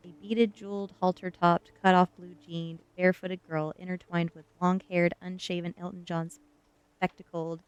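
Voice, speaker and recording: A woman streamer in a soft ASMR style, chatting and reading a story aloud in a gentle, unhurried manner that is hushed at times. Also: on the low side, little pitch movement, clean tone, no echo, dull audio. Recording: music behind the voice. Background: background music.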